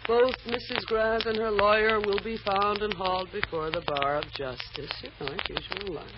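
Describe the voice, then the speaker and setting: A woman's voice: mid-range voice, steady tone, moderate pace, lightly acted. An actress playing a wry, teasing, protective woman friend in an old-time American radio drama. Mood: calming, guarded. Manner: wry, knowing, reassuring. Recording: muffled old radio recording, noisy.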